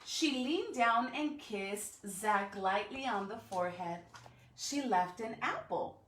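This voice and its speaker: A woman's voice: medium pitch, lively intonation, slow pace, a bit bright, a little hiss or hum. A woman speaking expressively to young students as a school educator doing a storybook read-aloud. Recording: echoey room.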